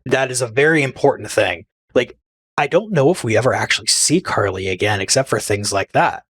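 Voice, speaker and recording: A man's voice: medium pitch, animated, fairly quick, fairly bright tone, slightly rough. A man co-hosting a fan podcast, chatting casually and giving blunt opinions. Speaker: podcast co-host. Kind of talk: casual chat.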